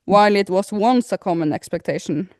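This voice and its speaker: A woman's voice: mid-range voice, a little fast, a little mellow, no echo, studio quality. A woman from Norway chatting informally as a podcast co-host, dropping loose asides as she goes. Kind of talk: casual chat.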